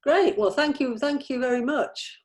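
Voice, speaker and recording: A middle-aged woman chatting casually as a producer in a planning meeting, agreeable and a little halting. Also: sits high.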